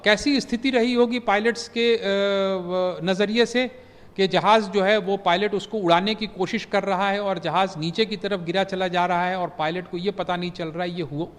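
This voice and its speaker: Former pilot guy on the news, high-ish voice, slow and halting with lots of uh, echoey reverb. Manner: measured, halting, many fillers, mixes English phrases. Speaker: former pilot giving expert analysis. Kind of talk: news commentary interview.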